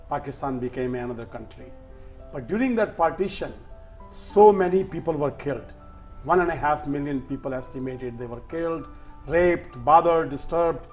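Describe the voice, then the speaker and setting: A man hosting a TV interview, speaking earnestly and deliberately, with pauses and fillers. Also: on the high side, a little mellow. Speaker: host.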